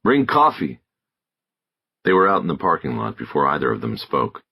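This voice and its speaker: A man reading scripted narration of a crime thriller audiobook in a gravelly, husky voice. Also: very deep voice, animated, quick pace, bright, forceful tone.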